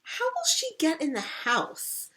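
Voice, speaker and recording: A pesky little storybook girl, voiced by a woman reader squeals her questions one after another. Her voice jumps high and bright. She never stops asking. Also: wildly swooping pitch, exaggerated voice, poor audio. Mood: eager. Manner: squealing, rapid-fire questions. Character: excitable little girl, pesky youngest sister.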